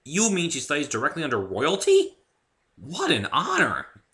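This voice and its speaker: A man's voice: fairly high pitch, wildly swooping pitch, moderate pace, pushed and bright, voice pushed up, exaggerated voice. A man playing a polite, curious, good-humoured human hero in a dramatic story reading, earnest and bantering.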